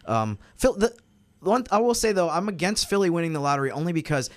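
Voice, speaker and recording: A man's voice: on the high side, animated, fast talker. A man on a sports podcast panel, talking casually in an explanatory, analytical way.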